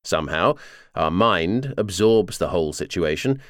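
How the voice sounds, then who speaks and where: A man narrates an audiobook memoir in a low-pitched voice with lively, varied intonation. His reading is measured and clearly articulated, delivered as polished scripted narration in a dry, close recording. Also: no room sound.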